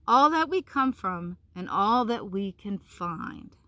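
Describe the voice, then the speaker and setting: A wise old female turtle from a storybook, voiced by a woman reading aloud. She speaks brightly and gently, with solemn emphasis on every phrase and a reverent, assured temper. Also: medium pitch, animated, takes it slowly, no echo.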